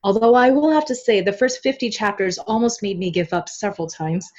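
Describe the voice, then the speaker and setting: A woman's voice: medium pitch, fairly quick, darkish tone. Chatty woman on a dull, lo-fi line. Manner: chatty, laughs often, many fillers. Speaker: guest, novel translator. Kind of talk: casual podcast conversation.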